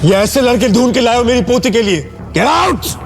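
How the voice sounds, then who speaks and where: A man acting a wealthy, stern, stubborn elderly grandfather in an audio drama, speaking in a commanding, deliberate way. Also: extremely high pitch, lively intonation, creaky, full character voice. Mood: angry. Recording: loud background music under the voice.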